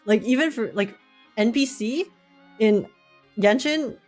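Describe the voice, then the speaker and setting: A woman's voice: on the high side, a little slow. A woman livestreaming a game in an informal, giggly manner, chatting casually and reading text aloud. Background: game music.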